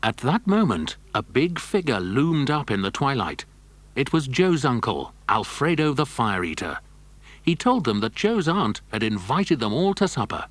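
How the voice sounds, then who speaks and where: Animated male storyteller. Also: medium pitch, normal speed, dry recording. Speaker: narrator. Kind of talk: scripted children's story narration.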